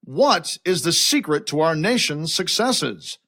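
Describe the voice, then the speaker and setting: An actor in an audio drama playing a self-important TV news anchor, reading in a formal, projected announcer style. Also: male voice, a little high, very animated, measured pace, sharp tone, full character voice. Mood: polished, projecting.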